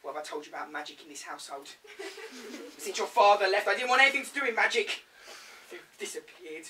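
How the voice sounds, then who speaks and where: A man's voice: high-pitched, lively intonation, fairly quick, bright, forceful tone. A young man playing a brassy, joking mother as a pantomime dame, chatting with the audience on a small theatre stage. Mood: scolding. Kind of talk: school pantomime acting. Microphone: distant camera mic. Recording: distant, noisy stage recording.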